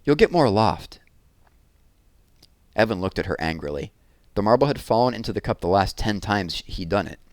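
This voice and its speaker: A man with a low voice reads a children's novel aloud. His narration is expressive, and the recording is close and dry, with very little room sound. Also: fast, dry recording. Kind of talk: expressive children's chapter book read-aloud.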